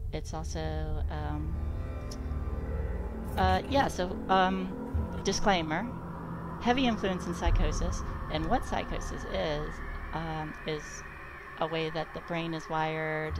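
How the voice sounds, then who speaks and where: A low-voiced woman speaks slowly and haltingly on a casual game stream, raspy from a recovering sore throat. Loud music plays behind her voice. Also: fairly even intonation. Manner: halting, hesitant explanations. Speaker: streamer playing a video game. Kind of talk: casual live stream chat. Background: music under the voice.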